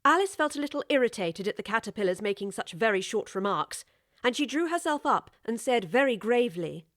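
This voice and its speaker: A woman narrating a scripted storybook audiobook in a measured, articulate way. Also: on the high side, animated, a bit bright, dry recording, studio quality.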